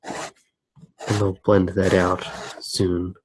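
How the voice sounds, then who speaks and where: A man giving soft-spoken ASMR commentary as he draws, musing aloud in a hesitant way with pauses. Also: low-pitched, little pitch movement, slight background noise, poor audio. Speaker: host of an ASMR drawing channel.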